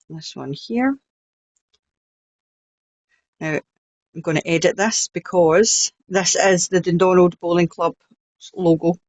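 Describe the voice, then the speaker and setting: A woman's voice: on the low side, dry recording. A woman with a Scottish accent, chatting her way through a step-by-step crafting tutorial with blunt, frank asides. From Scotland.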